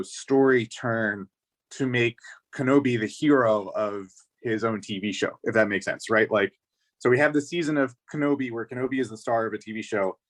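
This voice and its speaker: A man's voice: mid-range voice, fairly bright tone. A man explaining his points as a panelist in a casual, analytical discussion, often checking that others follow. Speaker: podcast panelist.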